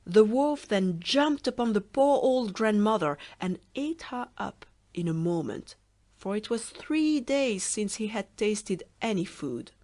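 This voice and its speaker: A woman narrating a children's fairy tale audiobook in a slow, clear, expressive storytelling way. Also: medium pitch.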